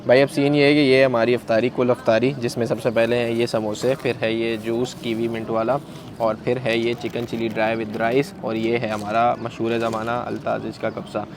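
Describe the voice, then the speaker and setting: A man's voice: mid-range voice, level tone, moderate pace. A man with a sore throat, chatting to viewers as he films his own travel vlog, calling them brother as he walks and shows things. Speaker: travel vlogger. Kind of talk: handheld travel vlog narration. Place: outdoors and in a large busy mosque extension. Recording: noisy outdoor phone recording.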